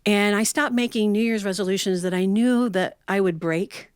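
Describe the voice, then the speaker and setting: A middle-aged woman tells funny personal stories like a seasoned storyteller. Her delivery is folksy and animated, slipping into quoted dialogue, with a medium-pitched voice. The sound is dry, with very little room echo. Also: moderate pace, smooth. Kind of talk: humorous personal storytelling to a live audience.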